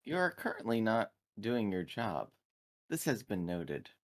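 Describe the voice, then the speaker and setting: Man playing a very low, deadpan android. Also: a little slow. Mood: cold, officious. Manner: formal, deadpan.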